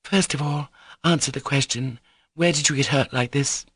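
An excitable, imperious teenage girl from a classic novel, acted out by a male audiobook reader. The voice sits high and sounds urgent and demanding, with exclamations and orders. It is recorded close and dry. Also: fairly quick, no echo. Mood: bossy, scolding. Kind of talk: dramatised audiobook reading.

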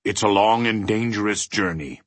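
A man's voice: on the low side, moderate pace, no echo, close to an everyday voice. A man voicing a bombastic, stubborn mathematician from a storybook, declaiming with great emphasis. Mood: grave, ominous. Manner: emphatic, declamatory, swinging from bellows to ominous hush. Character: bombastic, stubborn mathematician ruler, thundering and ominous.

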